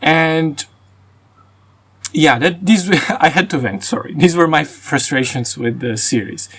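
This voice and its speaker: A man's voice: a little high, unhurried, fairly bright tone, husky. A man with a Croatian and Russian accent, reviewing a book casually and venting his frustrations, with fillers and restarts. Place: rural home.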